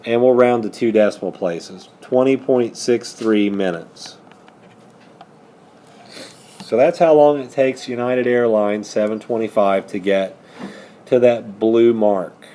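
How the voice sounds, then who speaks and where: Male teacher, unhurried and mellow, walking students through problems with self-answered questions, some background noise. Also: mid-range voice. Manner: asks questions and answers them himself. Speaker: high school math teacher. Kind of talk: step-by-step math lesson with questions and answers.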